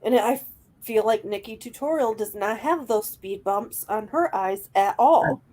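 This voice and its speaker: Rambling chatty woman, higher voice. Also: a little slow, a little mellow. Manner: agreeable, rambling with fillers. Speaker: guest vlogger. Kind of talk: casual chat.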